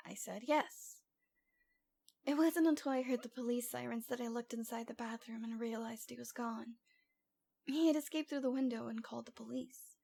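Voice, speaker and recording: A woman's voice: on the high side, normal speed, gravelly, dark tone. A woman playing a cute, lovesick young stalker girl in an ASMR roleplay, with a coy, pouty, dramatic delivery. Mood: hurt, wistful.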